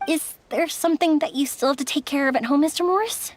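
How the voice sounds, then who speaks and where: A woman's voice: high-pitched, quick pace, no room sound, natural voice. A woman voicing a cheery, persuasive young fireworks maker in a video game, bubbly and coaxing. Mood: cheerful.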